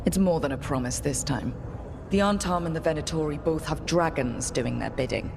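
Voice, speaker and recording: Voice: mid-range voice, normal speed, vocal fry, noisy background, lightly acted. An actor voicing a resolute, plain-spoken young hero who leads a resistance in a fantasy video game. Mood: matter-of-fact. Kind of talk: video game cutscene acting.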